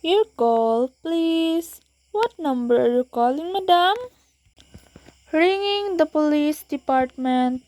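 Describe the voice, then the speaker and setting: A woman's voice: sits high, animated, slow, a little mellow. A young woman acting a formal, detached telephone operator in an amateur radio play, with clipped courteous phrases.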